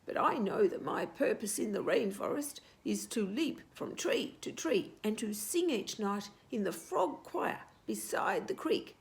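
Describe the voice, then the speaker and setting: A woman voicing a helpful, proud little tree frog with a croaky voice in a children's storybook. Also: mid-range voice, lively intonation, measured pace, hardly any pauses.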